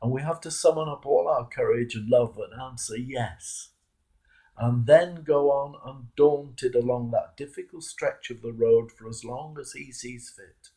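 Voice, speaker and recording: Slow, gravelly British clergyman. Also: medium pitch, warm tone, rough recording. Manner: measured, emphatic, repeats key phrases for weight. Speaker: Anglican clergyman. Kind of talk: devotional sermon reflection, read aloud.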